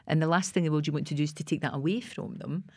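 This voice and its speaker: A woman hosting a podcast, asking warmly encouraging questions with halting restarts. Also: deep voice, breathy edge, no echo, studio quality. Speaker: podcast host. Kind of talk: casual interview chat. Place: podcast studio.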